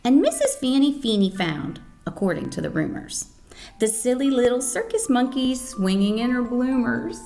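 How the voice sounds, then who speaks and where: A woman reading a picture book aloud to children as a storyteller, with an expressive, dramatic manner. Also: on the high side, moderate pace, creaky, soft background music. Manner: expressive, dramatic reading with questions to young listeners. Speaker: volunteer storyteller.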